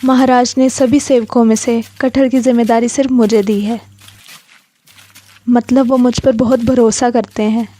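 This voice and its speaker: A woman's voice: on the high side, little pitch movement, normal speed, dark tone, close to an everyday voice. A woman voicing a loyal, earnest cow who serves a king in a children's story. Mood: proud, admiring. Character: loyal, earnest female cow, a trusting royal servant. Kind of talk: children's story character acting.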